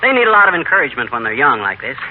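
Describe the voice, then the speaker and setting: An actor playing a brash, cocky, wisecracking husband in a 1940s radio comedy. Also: male voice, mid-range voice, very animated, racing, lightly acted. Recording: tinny, noisy 1940s radio recording.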